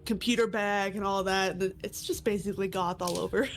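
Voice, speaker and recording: A woman's voice: medium pitch, steady tone, fairly quick, soft background music. A woman player with a stuffy, congested nose describing her character. She rambles loosely, with many filler words and frequent laughter.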